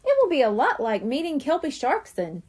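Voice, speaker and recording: A cheerful young kitten girl from a storybook, blunt about her hunger, her voice high and lively. A woman reads her aloud. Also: moderate pace.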